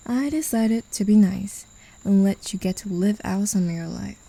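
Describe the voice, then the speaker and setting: A woman's voice: mid-range voice, moderate pace, vocal fry, mellow, low-quality recording. A woman voicing a possessive female demon in an ASMR roleplay, talking down to her human pet in a teasing, condescending way, often whispering. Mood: domineering. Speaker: voice actor.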